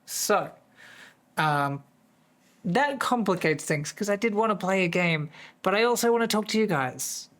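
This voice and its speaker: A streamer with a voice that is going hoarse, chatting casually to viewers in a distracted, rambling way. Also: mid-range voice, lively intonation, a little slow, fairly bright tone. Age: adult, working full-time. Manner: easily distracted, rambling with many restarts. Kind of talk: casual live-stream chat.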